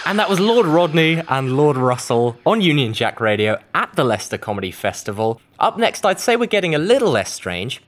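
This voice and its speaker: A man's voice: fairly high pitch, sing-song, fairly quick, hardly any pauses, soft background music. A man hosting a casual radio comedy interview, dry and teasing, mock-sceptical with his questions.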